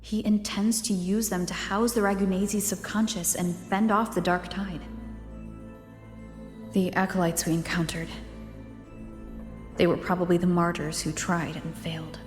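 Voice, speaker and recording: A woman's voice: on the low side, steady tone, measured pace, long pauses, lightly acted. Woman voicing a thoughtful young adventurer. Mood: pondering. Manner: thoughtful, reasoning aloud. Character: curious young woman adventurer piecing together clues. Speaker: protagonist investigating ruins. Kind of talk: English game dub dialogue. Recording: roomy game audio with long reverb. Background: soft music.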